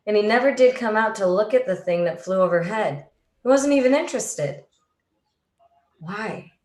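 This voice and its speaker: A woman's voice: mid-range voice, lively intonation, moderate pace, a little mellow, close to an everyday voice. Woman as an uneasy neighbor in an echoey room. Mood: suspicious. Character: uneasy suburban neighbor in a suspense radio play. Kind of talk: amateur radio play acting. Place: room at home. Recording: roomy, echoing home recording.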